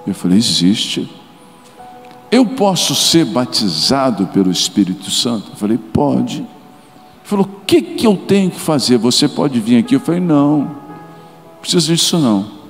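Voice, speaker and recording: A middle-aged man with a Brazilian Portuguese accent preaching a sermon and telling a story, moving between quiet asides and sudden loud exclamations. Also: mid-range voice, very animated, normal speed. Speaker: pastor. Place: large church auditorium. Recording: live church sound with long reverb and faint music.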